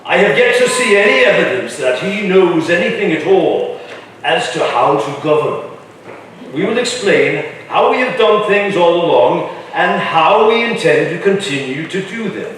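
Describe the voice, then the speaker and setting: A stern colonial official, played by a man in a staged historical reading. He speaks formally in a high voice for a man, distant from the mic in a very echoey room. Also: big pitch swings, normal speed, fairly bright tone, a little hiss or hum. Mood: firm. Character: stern colonial men: indignant grandfather leader, curt governor's secretary.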